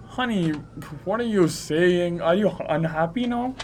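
A man's voice: high-pitched, measured pace. A young man playing a devoted, eager-to-please clerk husband in an amateur radio drama, tender and reassuring. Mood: concerned, pleading. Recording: noisy amateur recording.

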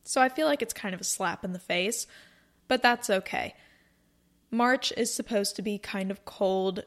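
A woman in her twenties hosting a casual solo podcast, chatting in a rambling, upbeat way from a cozy room. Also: mid-range voice. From North Carolina, US. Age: 20s. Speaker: podcast host.

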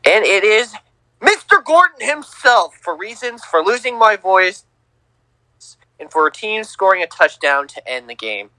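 A young man co-hosting a casual sports podcast, teasing and lively, on a home mic. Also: high voice, a little slow, no room sound, low-quality recording. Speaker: podcast co-host.

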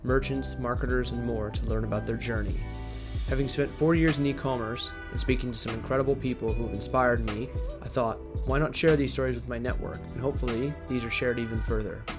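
A man's voice: medium pitch, steady tone, brisk, music in the background. A man hosting a podcast, reading a prepared intro and then asking questions in a chatty way with a few restarts. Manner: reads a scripted intro, then chats with restarts and fillers. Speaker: podcast host. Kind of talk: podcast interview.

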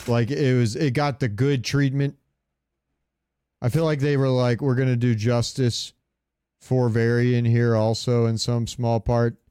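A man hosting a podcast, giving casual fan commentary in a smooth, clear voice. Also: medium pitch, little pitch movement, unhurried, dark tone, dry recording, clean studio sound. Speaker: podcast host.

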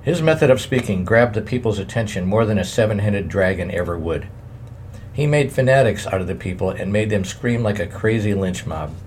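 Man, low pitch, measured memoir reading, faint background noise. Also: lively intonation, darkish tone. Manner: plain, measured reading with editor's asides. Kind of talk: reading aloud a family memoir.

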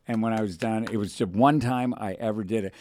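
A man in his forties hosting a radio talk show, telling stories in an animated way and putting on voices when he quotes people. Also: lowish voice, brisk, no room sound, studio quality. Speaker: radio host. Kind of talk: casual radio show chat. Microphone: studio microphone.

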